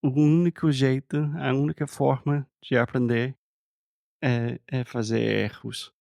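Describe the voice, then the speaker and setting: American guy speaking learner portuguese, super gravelly and dark, slow, fillers everywhere, dry mic. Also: medium pitch, flat delivery, no room sound. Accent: American English. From United States. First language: English. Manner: slow, searching for words, says "tipo" often. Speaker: podcast co-host. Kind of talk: casual conversational chat.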